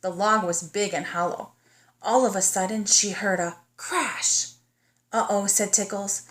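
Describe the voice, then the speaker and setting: A woman's voice: medium pitch, fairly even intonation, a bit bright. A woman narrating a children's storybook as a teacher would, in a child-directed manner that invites the young listener in. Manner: child-directed, pausing to ask the listener questions. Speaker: teacher reading aloud to kindergartners. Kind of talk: storybook read-aloud narration.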